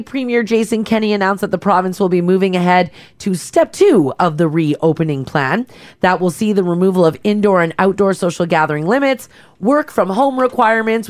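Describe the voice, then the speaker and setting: A woman's voice: mid-range voice, dry recording. A woman co-hosting a morning radio show, chatting with upbeat energy and then reading the local news. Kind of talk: casual banter and news reading.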